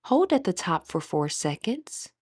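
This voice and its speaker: A woman with a breathy voice gently leading a guided meditation as an instructor, in measured, scripted phrases. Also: on the low side, big pitch swings, no room sound.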